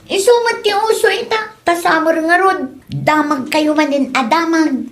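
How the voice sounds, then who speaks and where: A woman plays a cheeky young daughter in an Ilocano comedy radio drama. The voice sits very high and girlish, and talks back in a light, protesting way. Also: moderate pace. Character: young daughter, cheeky, fashion-minded, eager to go out.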